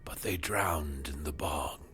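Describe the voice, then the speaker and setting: A man's voice: rumbling low, slow, a bit bright, husky, soft background music, full character voice. An actor playing an earnest, weary townsman standing guard at a tower in a fantasy adventure game. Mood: dazed, earnest. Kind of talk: dramatic video game acting.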